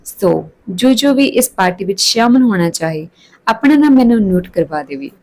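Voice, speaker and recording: A woman's voice: mid-range voice, animated, normal speed, a little mellow. Lady doing an outgoing college girl in an audio story, breezy and gushing, trendy slang. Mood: announcing brightly. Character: outgoing, trendy young female college student.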